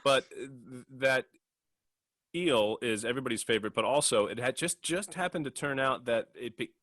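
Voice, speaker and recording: A man's voice: medium pitch, normal speed, fairly bright tone, dry recording, clean studio sound. A man co-hosting a podcast in casual discussion, rambling and hesitant as he works toward his point. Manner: hesitant, rambling, laughs easily. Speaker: podcast host and player.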